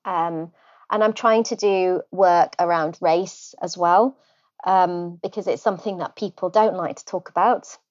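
A middle-aged woman from North Wales, a hospital physician, answering interview questions thoughtfully with pauses and restarts. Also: on the low side, steady tone, a little mellow, muffled recording.

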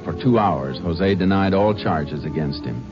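Steady commanding lawman, deep voice, muffled old radio broadcast, music underneath. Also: a little slow. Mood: calm. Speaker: narrator and lead Texas Ranger. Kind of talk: 1950s radio drama narration and acting.

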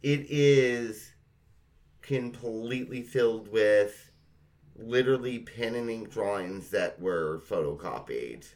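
A man's voice: fairly low pitch, animated, takes it slowly, a little mellow. A middle-aged man co-hosting a podcast, reminiscing in casual chat with an informal, emphatic, sweary manner. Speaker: podcast co-host.

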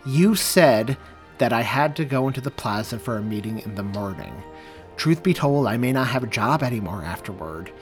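A weary middle-aged cop, hoarse and apologetic, pleading with his wife, as the male reader puts him on. The voice stays at a mid pitch, close to a plain reading voice. Faint music plays underneath. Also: animated, normal speed, natural voice.

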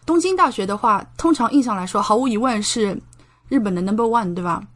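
A woman's voice: on the high side, lively intonation, fairly quick. A young woman from China, a doctoral student speaking as an interview guest, explaining things in a conversational way. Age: young adult.

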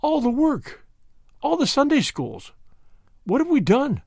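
Frantic, whining clergyman, high-pitched , played by a man. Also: wildly swooping pitch, unhurried, creaky, a little mellow, no room sound, clean studio sound, exaggerated voice. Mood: lamenting. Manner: complaining, wailing, half-demented. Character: distraught, panicked male country clergyman.

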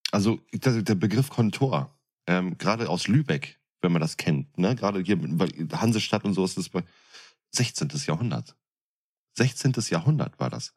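A man's voice: low-pitched, animated, moderate pace, darkish tone, slightly rough. A man co-hosting a podcast, chatting casually in crude, slangy language. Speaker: podcast co-host. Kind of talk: casual chat.

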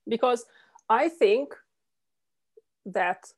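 A woman's voice: fairly high pitch, expressive, extremely slow. A woman in her forties with a Romanian accent chats as a guest. She is giggly and full of "uh" fillers, and she leans hard on repeated phrases to make her point. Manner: warm and giggly, many "uh" fillers, emphatic repetition. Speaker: podcast guest, coach. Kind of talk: casual interview chat.

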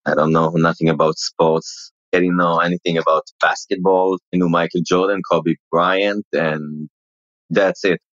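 A man with an Israeli accent and a deep, mumbling voice, telling stories bluntly and casually in an interview, with curse words. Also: flat delivery, normal speed, fairly bright tone, dry recording, lo-fi sound. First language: Hebrew. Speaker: interview guest, celebrity impersonator.

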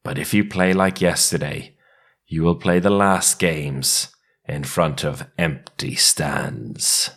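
A man doing a melodramatic dramatic reading as an outraged sports fan, slow and emphatic. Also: rumbling low, vocal fry, fairly bright tone, heavily acted. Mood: stern. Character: outraged sports fan group declaiming an open letter.

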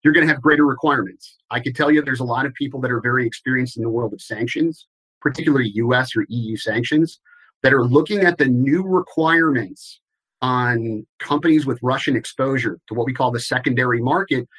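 Man, interview guest, measured explanatory delivery, steady mid pitch. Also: husky, poor audio. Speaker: guest, compliance expert. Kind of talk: interview answers.

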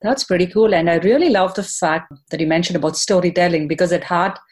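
A woman's voice: on the low side, quick pace, a little mellow. A woman hosting a podcast, asking questions in an upbeat, encouraging way. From Chennai, India. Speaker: podcast host. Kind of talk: podcast interview.

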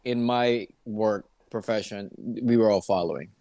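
A man's voice: fairly low pitch, normal speed, warm tone, dry recording. A man from Kansas City, Kansas, chatting as a podcast guest in an easygoing, hesitant way. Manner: easygoing, hesitant, laughs often. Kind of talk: casual interview chat.

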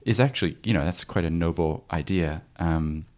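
A man's voice: low-pitched, normal speed, a little mellow. A man who grew up in New Zealand, interviewing with curious, encouraging questions. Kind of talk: conversational interview.

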